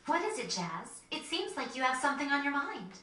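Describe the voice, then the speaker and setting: An actress playing an earnest, soft-hearted young woman of a resistance group in a video game. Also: sits high, sing-song, fairly quick, heavily acted. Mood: concerned, questioning. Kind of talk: dramatic video game acting. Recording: roomy, distant, noisy screen capture.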